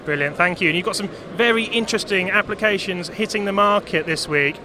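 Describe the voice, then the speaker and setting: A man with a high voice puts brisk, upbeat questions to a guest. He is reporting live from a busy exhibition floor, with a loud crowd around him. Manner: brisk and upbeat, short prompts. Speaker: interviewer. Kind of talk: live trade show reporting. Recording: crowded trade show floor.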